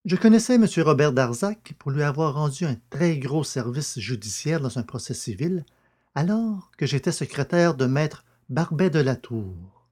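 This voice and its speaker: An actor playing a curious gentleman friend who narrates a French detective story and its dialogue. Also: fairly high pitch, lively intonation, normal speed. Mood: measured. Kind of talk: scripted literary narration with dramatised dialogue.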